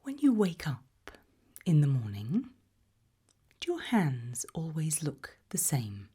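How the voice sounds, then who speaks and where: A woman acting as an eerie British female researcher in a creepy ASMR roleplay. Her low-pitched, very breathy whisper is slow and deliberate, probing with quiet menace. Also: huge pitch swings, full character voice.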